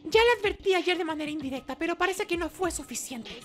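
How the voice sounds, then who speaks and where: A man's voice: extremely high pitch, expressive, fast, a bit bright, faint music behind, voice pushed up. A man voicing a stern, composed teenage girl in a cool, matter-of-fact way. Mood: cool reproach.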